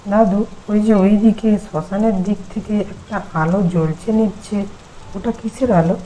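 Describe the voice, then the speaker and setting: A woman's voice: mid-range voice, fairly even intonation, measured pace, warm tone, slight background noise, close to an everyday voice, rough recording. A woman voicing a curious, eager young village boy in a horror cartoon.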